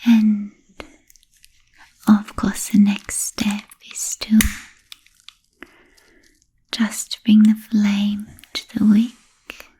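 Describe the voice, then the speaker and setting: An ancient, genteel vampire played by a woman whispers in an ASMR roleplay. The delivery is extremely slow and almost monotone, with a very breathy, voiceless softness. The phrasing is formal and deliberate, soothing yet politely predatory. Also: medium pitch, heavily acted, low-quality recording. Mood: gentle, musing. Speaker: ASMR performer.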